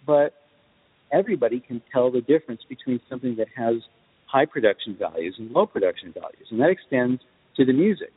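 A man's voice: mid-range voice, expressive, a little fast, slightly rough. Man explaining in a dull, reverberant recording. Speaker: guest film composer. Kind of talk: explanatory interview talk. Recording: dull lo-fi audio with long reverb.

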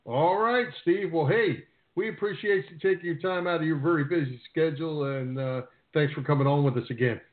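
A man's voice: fairly high pitch, brisk. A man hosting a sports radio show in a conversational style. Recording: narrow phone-like sound.

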